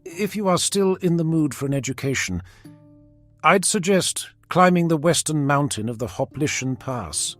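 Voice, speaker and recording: A man voicing a poised, coy noblewoman who teases in formal, measured fantasy dialogue. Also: fairly high pitch, lively intonation, soft background music, voice pushed up. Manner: formal, measured, gently teasing. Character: poised, coy noblewoman teasing her brother. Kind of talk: fantasy audiobook character dialogue.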